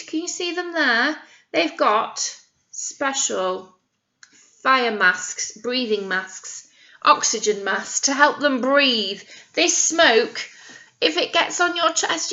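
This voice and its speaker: A woman, a nursery teacher, reading a picture book aloud to young children in an expressive, teacherly way, stopping to explain things simply with questions and emphasised key words. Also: high voice, muffled recording.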